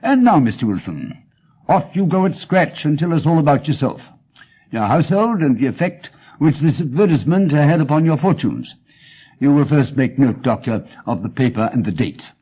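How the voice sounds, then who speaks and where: An actor reading as a cool, amused gentleman detective with an RP English accent and a dry, clipped manner. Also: on the high side, big pitch swings, normal speed, breathy edge. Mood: brisk. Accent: English, Received Pronunciation.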